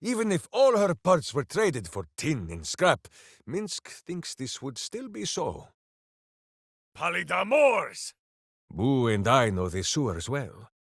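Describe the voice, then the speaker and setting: An actor voicing a hearty, simple-minded, boastful heroic berserker in a video game, with a bombastic, theatrical way of talking. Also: male voice, a little high, huge pitch swings, slow pace, gravelly, a bit bright, no echo, clean studio sound, heavily acted. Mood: hearty, earnest, then battle cry. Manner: bombastic, theatrical, speaks of himself in third person. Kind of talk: video game character voice lines.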